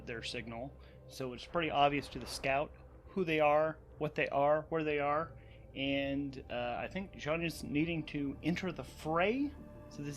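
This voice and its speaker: A man hosting and narrating a solo tabletop role-playing game, thinking aloud as he goes. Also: mid-range voice. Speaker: host, narrator and game master. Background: background music.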